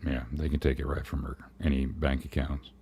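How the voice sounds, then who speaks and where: A man's voice: a bass voice, little pitch movement, fairly quick, dark tone. A man chatting casually as a co-host on a livestream. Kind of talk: casual chat.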